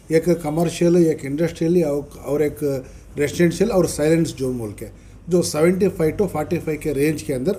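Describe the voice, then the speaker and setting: A man with a South Indian Dakhni Urdu accent giving a press statement as a spokesman, explaining haltingly and repeating himself with English terms mixed in. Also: on the high side, mellow. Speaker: party minority-wing official, spokesman. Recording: noisy press meet recording.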